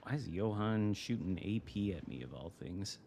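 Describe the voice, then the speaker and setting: Man, Michigan American accent, lowish pitch, casual game streamer commentary, dry and profane, faint background game gunfire. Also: expressive, normal speed, creaky, darkish tone, slight background noise. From United States. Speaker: live streamer. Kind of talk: casual live gameplay commentary. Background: video game artillery and gunfire.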